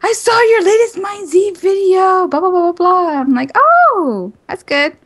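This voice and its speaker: A woman's voice: extremely high pitch, very animated, unhurried, fairly bright tone. A woman in her thirties talking casually as a co-host in a group conversation. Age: 30s. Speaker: podcast co-host.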